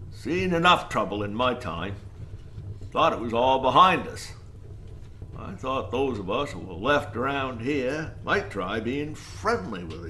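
A man's voice: mid-range voice, pushed and bright, a little hiss or hum. A man playing a weary, wary old lighthouse keeper in an audio drama, with a folksy drawl. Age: older.

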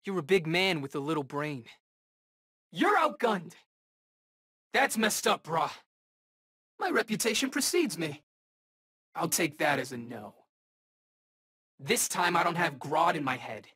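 An actor playing a cocky, eager young rookie superhero in a video game, bantering in casual, wisecracking slang. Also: male voice, fairly high pitch, big pitch swings, measured pace, pauses often, pushed and bright, husky, heavily acted. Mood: confident, taunting. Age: young adult.

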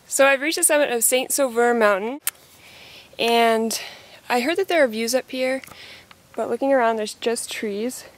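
A woman's voice: a little high, moderate pace. A young woman reporter chatting casually as she hikes a trail, giving offhand tips to the viewer. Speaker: newspaper reporter. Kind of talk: casual hiking vlog. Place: outdoors on a forest trail, some lines in a car.

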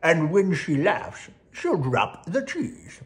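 A reader acts out a hungry storybook warthog. He schemes aloud in a slow, loud voice. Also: mid-range voice, big pitch swings, voice pushed up. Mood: scheming.

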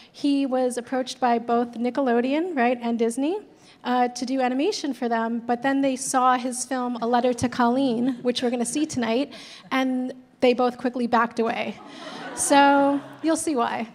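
A woman with a high voice hosts a live panel, chatty and casual with blunt humor. She speaks into a handheld mic on a stage. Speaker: event host, moderator. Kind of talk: introducing panelists. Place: gallery stage before a large audience.

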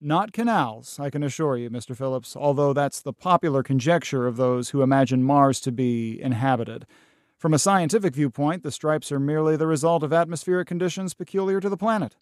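A man performs a self-assured professor of astronomy for an old radio play. His voice sits a little high, with measured scholarly phrasing and a dismissive certainty. The recording is dry and close. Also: darkish tone, no room sound, lightly acted. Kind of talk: 1930s radio drama acting.

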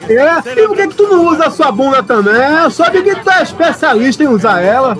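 A man's voice: very high voice, big pitch swings, fairly quick, fairly bright tone, soft background music, exaggerated voice. A man acting in an audio drama as a brash, whiny, foul-mouthed political candidate with a grumbling, blustering manner. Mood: crude, combative.

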